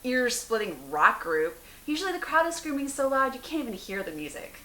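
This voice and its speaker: A woman's voice: fairly high pitch, big pitch swings, a little fast, bright, forceful tone, pitched above the performer's own voice. A woman acting a candid, wry teenage girl in an amateur dramatic dialogue reading. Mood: wry, animated. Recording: roomy echo, hiss in background.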